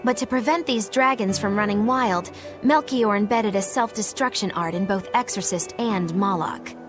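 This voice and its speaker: A woman's voice: medium pitch, moderate pace, over music, lightly acted. An actress voicing a playful, theatrical young witch in game dialogue, teasing and sly in her delivery. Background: game score.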